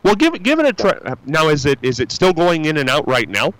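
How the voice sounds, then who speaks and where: A man's voice: a little high, huge pitch swings, brisk, fairly bright tone, no room sound, rough recording. A male radio host chatting with callers in a folksy, rambling way, full of fillers and restarts. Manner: upbeat and folksy. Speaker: radio call-in show host. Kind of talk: casual call-in chat. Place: radio studio.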